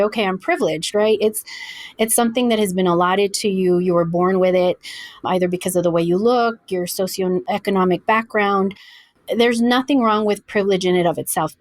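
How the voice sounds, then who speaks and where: A woman from El Paso, Texas, a guest in an interview, explaining her views in an earnest, measured way and slowing down on key words. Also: medium pitch, no room sound. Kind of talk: interview answers.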